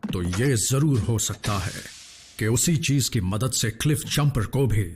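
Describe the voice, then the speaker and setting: An actor playing a calm, authoritative heroic robot leader in a cartoon dub, measured and commanding. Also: male voice, mid-range voice, breathy edge. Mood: grave. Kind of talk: dubbed cartoon hero acting.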